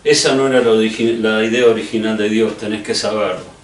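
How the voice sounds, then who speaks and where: A man's voice: lowish voice, steady tone, brisk. A middle-aged man with a Rioplatense Spanish accent preaching conversationally, addressing the listener directly and earnestly with rhetorical questions. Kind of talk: conversational sermon. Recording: echoey room, distant microphone.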